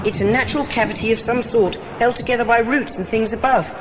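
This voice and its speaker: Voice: mid-range voice, fairly even intonation, fast talker, slight background noise, close to an everyday voice, rough recording. An actor playing an earnest, practical British boy in a dramatised children's adventure audiobook, with a well-spoken manner. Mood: reassuring.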